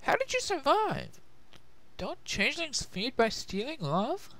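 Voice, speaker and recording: A man's voice: very high voice, wildly swooping pitch, a little slow, pushed and bright, voice pushed up, exaggerated voice. A man voicing an earnest, inquisitive young female pony in a dramatic story reading. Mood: curious. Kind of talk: dramatic fan-fiction reading.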